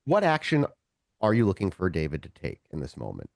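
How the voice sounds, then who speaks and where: A man running a tabletop roleplaying game, shifting between casual talk and deliberate, dramatic second-person narration. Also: low voice, wildly swooping pitch, gravelly, darkish tone, no echo, studio quality. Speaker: game master of a streamed tabletop roleplaying show.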